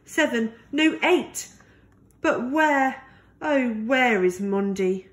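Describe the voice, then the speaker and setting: A woman's voice: on the high side, animated, extremely slow. A woman voicing an eager young girl in a storybook, calling out and questioning as she searches. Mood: puzzled.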